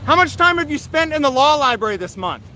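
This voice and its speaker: A man's voice: very high-pitched, very animated, fast talker, sharp tone. A man speaking in an indignant, scolding, confrontational way as he livestreams on the street. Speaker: livestreamer filming police. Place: outdoors near a street. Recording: noisy outdoor street recording with traffic.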